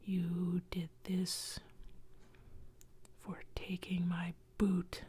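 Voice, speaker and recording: Brief: menacing male warrior ghost, whispered by a woman, slow, dark-toned, threatening. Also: on the low side, completely flat delivery, slightly rough, heavily acted.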